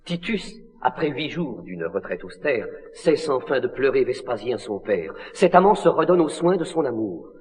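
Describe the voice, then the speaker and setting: A man's voice: mid-range voice, very animated, moderate pace, hardly any pauses, a little mellow, lightly acted. An actor playing a king's loyal, deferential confidant in classical tragedy, declaiming formal verse earnestly. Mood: respectful, eager. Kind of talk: classical tragedy stage acting. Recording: long reverb of a large hall.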